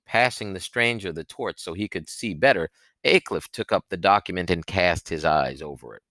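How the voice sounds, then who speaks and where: Guy reading an audiobook, deep bright voice, dramatic and suspenseful, really dry recording. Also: normal speed, dry recording. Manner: expressive, suspenseful. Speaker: audiobook narrator. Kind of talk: dramatic storybook narration.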